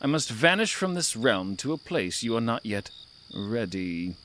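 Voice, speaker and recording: A man playing a haughty, menacing mystical storyteller cat in an audio drama, with a theatrical, drawn-out delivery. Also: mid-range voice, big pitch swings, fairly bright tone, slight background noise. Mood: haughty disdain. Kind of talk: audio drama host narration.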